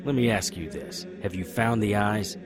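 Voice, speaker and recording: A man's voice: lowish voice, expressive, normal speed, music in the background, lightly acted. A man playing a wry, stubborn occult investigator who narrates and argues in a noir audio drama. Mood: sardonic, insistent. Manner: sardonic, confrontational.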